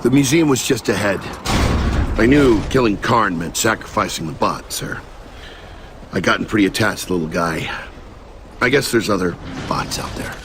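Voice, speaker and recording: A man's voice: on the low side, normal speed, long pauses, lightly acted. A man playing a blunt, battle-hardened soldier in a video game cutscene, with combat noise behind him. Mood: subdued.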